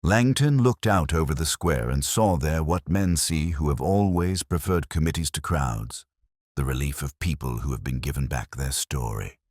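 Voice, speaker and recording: A man's voice: extremely low pitch, moderate pace, darkish tone, no echo, studio quality. A man reading scripted literary mystery narration as a storyteller. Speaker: audiobook narrator.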